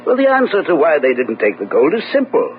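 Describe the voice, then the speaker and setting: An actor playing a reclusive, self-assured French gentleman detective in an old radio drama, urbane, measured and condescending. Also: on the high side, wildly swooping pitch. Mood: probing, confident. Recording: tinny old radio broadcast, humming noise.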